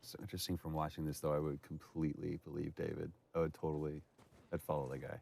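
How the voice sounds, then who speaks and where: A man's voice: very deep voice, level tone, fast, creaky, a little mellow. A man chatting casually in a commentary as one of the game's makers. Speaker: commentator who worked on the game.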